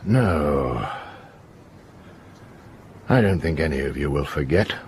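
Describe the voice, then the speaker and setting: Deep, slow, stern police inspector. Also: male voice, lively intonation, a little hiss or hum, heavily acted. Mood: grave warning. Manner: deliberate, commanding, moralising. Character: stern, righteous middle-aged male police inspector. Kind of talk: radio drama acting.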